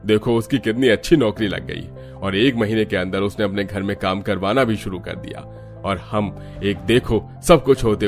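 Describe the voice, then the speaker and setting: A man's voice: on the low side, close to an everyday voice. A man playing a regretful older father-in-law in a cartoon, lecturing his family.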